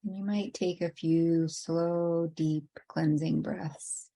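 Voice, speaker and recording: A woman leading a guided meditation in an unhurried, gently inviting way with long pauses. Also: lowish voice, steady tone.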